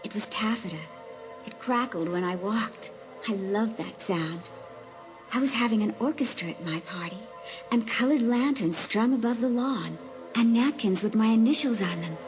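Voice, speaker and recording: An actress playing the ghost of a sixteen-year-old girl, speaking slowly in a hushed, breathy, pleading and wistful way in an audiobook drama. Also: a little high, music in the background, lightly acted. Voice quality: breathy, whispery. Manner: slow, hushed, earnest.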